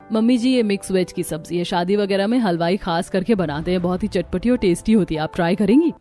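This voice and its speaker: An exasperated young daughter-in-law played by a female narrator in a cartoon story, dutiful yet resentful. Her mid-pitched voice is huffy and put-upon. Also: fairly quick, mellow, soft background music, close to an everyday voice. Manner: huffy, complaining. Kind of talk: Hindi cartoon story dialogue.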